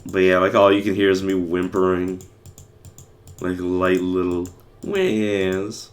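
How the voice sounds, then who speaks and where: A hoarse young man who is losing his voice, rambling casually with many fillers while he streams a game. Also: sits low, level tone, slow pace. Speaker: livestreamer playing a game. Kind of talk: casual gameplay commentary.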